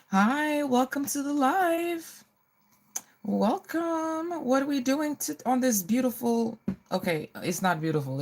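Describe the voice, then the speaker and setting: A woman's voice: mid-range voice, darkish tone. A middle-aged woman hosting a casual get-ready livestream, chatting to viewers and often repeating her phrases.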